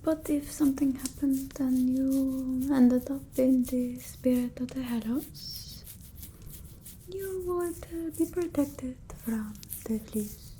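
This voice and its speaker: Woman acting a slow, whispery vampire mistress. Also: high voice, slight background noise. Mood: soothing, protective. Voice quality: soft, breathy, often whispered. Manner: halting, matter-of-fact, gently scolding. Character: bossy, doting female vampire tending her human familiar. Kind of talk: ASMR roleplay, soft speech mixed with whispering.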